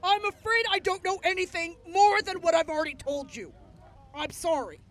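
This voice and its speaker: A woman acting in an audio drama as a composed, courteous officer, speaking formally and in measured phrases. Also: very high-pitched. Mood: apologetic.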